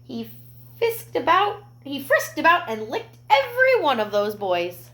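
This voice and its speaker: A woman reading a picture book aloud to young children, expressive, with gentle explanatory asides. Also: high-pitched, unhurried.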